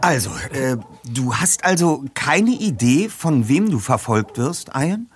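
A man's voice: medium pitch, sing-song, slow pace, slightly rough, exaggerated voice. An actor playing a confident, inquisitive teenage boy detective leader in a German audio drama. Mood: concerned, curious.

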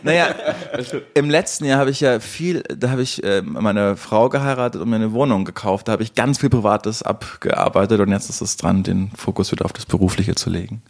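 A man talks in a lowish voice. His delivery is informal conversation, as a co-host on a podcast. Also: brisk. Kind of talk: casual chat.

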